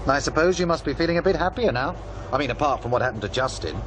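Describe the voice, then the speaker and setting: A shrewd, doubtful man played by an actor in naturalistic audio drama. His slightly high voice is dry, matter-of-fact and probing, with clear car and street noise behind it. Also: expressive, fast talker, natural voice. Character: shrewd, doubtful male radio programme colleague.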